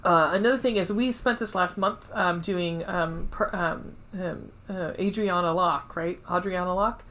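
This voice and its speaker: A woman's voice: fairly low pitch, measured pace, few pauses, fairly bright tone. A woman co-hosting a podcast in casual chat, informal and playful, with a habit of swearing. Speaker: podcast co-host.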